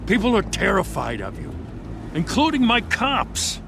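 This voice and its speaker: Gruff, gravelly, exasperated police lieutenant. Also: male voice, sits high, huge pitch swings, a little slow, noisy background, full character voice. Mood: wary, exasperated. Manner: exasperated, blunt. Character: gruff, wary male police lieutenant, middle-aged. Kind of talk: dramatic game voice acting.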